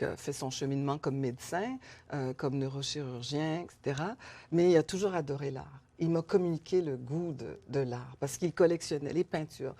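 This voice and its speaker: A middle-aged woman from Canada talks through her life as an interview guest. She is thoughtful and unhurried, with frequent fillers and soft laughs between her thoughts. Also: sits low. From Canada, Haitian-born. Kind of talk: conversational interview.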